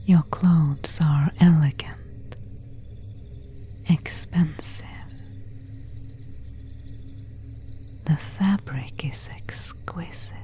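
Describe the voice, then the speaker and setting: Slow whispering seductive vampire woman, acted by a woman. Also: low-pitched, dark tone. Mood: courteous, beguiling. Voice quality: breathy, unvoiced whisper. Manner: slow, formal, archaic, intimate phrasing. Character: pale, elegant vampire woman of an ancient family, seductive. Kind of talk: whispered ASMR roleplay.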